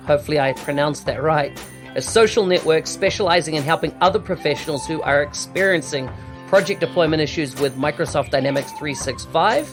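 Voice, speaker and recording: A man hosting a podcast, introducing a guest and chatting in a friendly, conversational way. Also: on the high side. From New Zealand. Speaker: podcast host.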